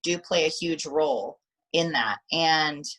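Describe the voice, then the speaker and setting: Bright-voiced woman on a video call. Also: sits low, unhurried, low-quality recording. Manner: animated, repeats words when excited. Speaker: bodybuilding athlete guest. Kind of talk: casual video call interview.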